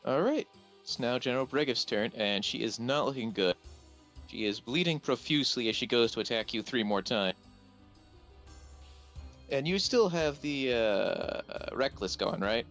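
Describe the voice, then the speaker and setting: A man's voice: medium pitch, expressive, moderate pace, a bit bright. Male game master over music. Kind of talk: running a tabletop roleplaying game, casual.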